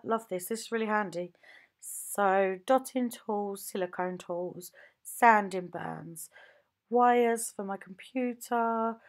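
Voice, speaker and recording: A woman nail technician from the UK, chatting casually as she shows her home studio on a handheld phone, rambling with lots of ums and likes. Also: medium pitch. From United Kingdom. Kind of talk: casual show-and-tell vlog.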